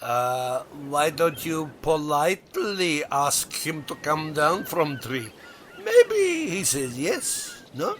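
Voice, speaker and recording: An actor playing an earnest, proud Russian cosmonaut in a comedy audio drama, with a Russian accent and broken English. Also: male voice, on the high side, big pitch swings, slow, slight background noise. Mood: politely suggesting. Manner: broken English with dropped articles.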